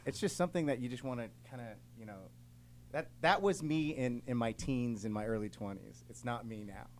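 A man's voice: medium pitch, a little fast, darkish tone, slight background noise. A man from Los Angeles who plays in a band, talking earnestly and loosely with many fillers. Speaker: band member. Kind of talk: casual interview chat.

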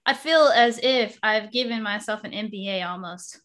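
A woman's voice: medium pitch, moderate pace, fairly bright tone. A young adult woman hosting a podcast interview, talking eagerly in long, rambling sentences with restarts. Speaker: podcast host.

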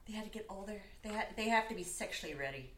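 A woman's voice: medium pitch, expressive, quick pace. A young woman guesting on a podcast, chatting casually and laughing often, often trailing off mid-sentence. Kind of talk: casual movie review chat. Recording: echoey room with faint noise.

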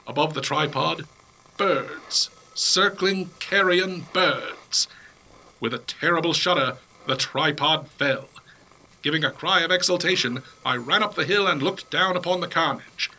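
A man's voice: on the high side, normal speed, bright, forceful tone, full character voice, rough recording. A man playing a pompous, impatient scientist professor in a comic 1930s-style radio play, delivered melodramatically and dismissively. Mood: grave, dramatic. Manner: melodramatic narration turning dismissive. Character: pompous, impatient male scientist professor recounting a Martian invasion. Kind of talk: 1930s radio play acting, comedy spoof.

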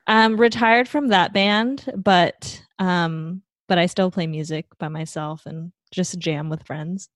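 A young woman from Taiwan, a guest in a casual interview, talking in a relaxed, rambling way with plenty of fillers. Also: on the low side, takes it slowly, few pauses, no room sound. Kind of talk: casual conversation.

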